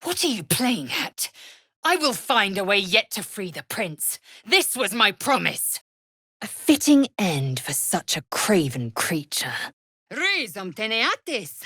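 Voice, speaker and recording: A woman's voice: medium pitch, very animated, takes it slowly, fairly bright tone, husky, no echo, clean studio sound, heavily acted. A woman voicing a proud, fierce alien warrior woman in a video game, with an imperious, clipped and contemptuous delivery. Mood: fierce, battle-ready.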